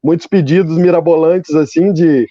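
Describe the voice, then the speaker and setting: A man talks casually as a guest, circling back over his phrases as he explains. His tone is dark and mellow, pitched slightly high. The sound is very dry and a little muffled. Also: fairly even intonation, normal speed, dry recording. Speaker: interview guest, podcast creator. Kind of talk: casual conversational interview.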